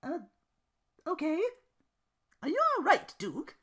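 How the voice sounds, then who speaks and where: Podcast performer as tough young woman, high-pitched, bright, snappy, sarcastic, fan-fiction storybook heroine. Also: sing-song, slow pace, heavily acted. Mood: exasperated, determined. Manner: snappy, loud, exasperated. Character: brash, grumpy young woman treasure hunter, tough and sarcastic.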